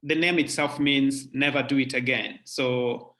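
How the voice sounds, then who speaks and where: A man speaking as a guest on a panel over a video call, measured, with pauses and fillers. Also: mid-range voice, fairly even intonation, pushed and bright.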